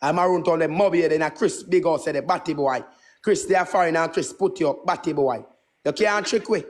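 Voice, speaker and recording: Fast-talking brash Jamaican man. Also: fairly high pitch. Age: 20s. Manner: brash, boastful, repetitive, swearing. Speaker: livestream host. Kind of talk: casual livestream ranting and chat.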